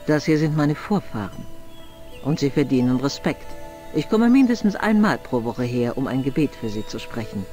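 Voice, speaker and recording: Haughty, expressive old aristocrat acted by a man. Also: medium pitch, faint music behind, lightly acted. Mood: dignified. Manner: formal, haughty, measured. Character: proud, stern elderly aristocrat with noble ancestry. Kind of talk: adventure game voice acting, German dub.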